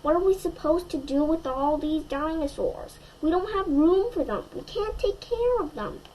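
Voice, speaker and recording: A woman's voice: very high voice, lively intonation, moderate pace, dark tone, a little hiss or hum, voice pushed up, rough recording. A woman voicing an exasperated but loving mother in a children's storybook, protesting firmly. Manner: protesting, firm.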